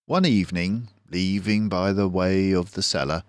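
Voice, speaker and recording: An older man reading a children's storybook aloud as narrator, in a measured, deliberate manner. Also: deep voice, clean tone, no echo.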